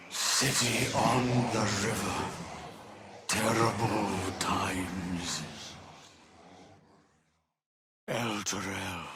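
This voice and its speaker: A male actor playing a weary, honourable leader of embattled refugees in a video game, grave, measured and formal, with a husky voice that turns gravelly under strain. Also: fairly low pitch. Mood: weary, sorrowful. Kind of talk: dramatic game dialogue.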